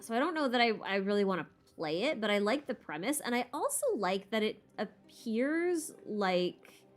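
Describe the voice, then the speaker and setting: A woman's voice: on the high side, big pitch swings, normal speed. A woman hosting a casual live Q&A stream while gaming, chatting in a playful, self-deprecating, rambling way with muttered asides.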